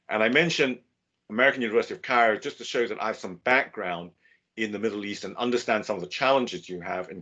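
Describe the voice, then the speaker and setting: Older guy, professor type, low husky voice, lecturing over a muffled video call mic. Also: fairly bright tone. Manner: measured, explanatory, with fillers and restarts. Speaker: business school professor giving a webinar. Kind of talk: academic webinar lecture. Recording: dull lo-fi webinar audio.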